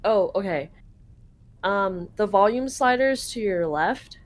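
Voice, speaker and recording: A woman's voice: medium pitch, animated. Canadian woman casually translating on a call. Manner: casual, swears freely, reads out translations haltingly. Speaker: live translator for a friend's playthrough. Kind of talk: casual chat over a video call.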